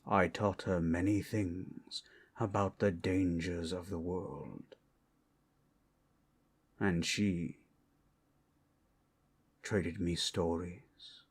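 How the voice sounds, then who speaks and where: A man playing an ancient, solemn, protective dragon guarding its hoard in a dramatic ASMR roleplay, with a husky, breathy delivery and grave, deliberate pauses. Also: deep voice, fairly even intonation, full character voice. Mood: solemn, reflective.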